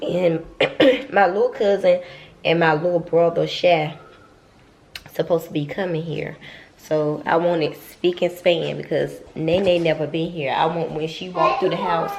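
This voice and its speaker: A young Southern woman talks to her viewers like friends, a little stuffy, rambling and circling back to repeat herself. Her voice sits low with a gravelly edge. The home recording carries a steady hiss of noise. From North Carolina. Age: young adult. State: stuffy nose. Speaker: vlogger and mom. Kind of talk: casual vlog chat. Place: home kitchen.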